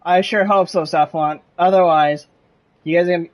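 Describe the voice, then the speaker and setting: A man's voice: sits high, steady tone, moderate pace. A man giving casual commentary on a game playthrough, informal, with wry asides. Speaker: gaming video host.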